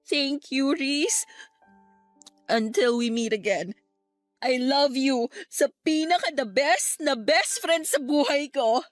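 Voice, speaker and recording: A woman's voice: high voice, slow, dry recording, faint music behind. A young Filipino woman acting in a radio drama as a devoted graduate grieving her late best friend, earnest and sentimental. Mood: tearful devotion. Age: young adult.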